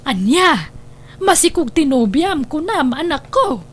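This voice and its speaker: Motherly Ilocano woman, rather high voice, acted. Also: big pitch swings, unhurried, a little hiss or hum. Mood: surprised. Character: accepting, practical mother of a grown son. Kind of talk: Ilocano audio drama acting.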